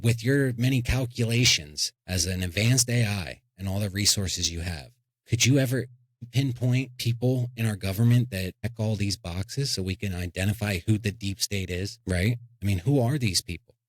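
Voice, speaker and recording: Lowish rambling man hosting a podcast. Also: normal speed, no room sound. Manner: rambling, joking, with restarts. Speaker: podcast host. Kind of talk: casual comedic chat.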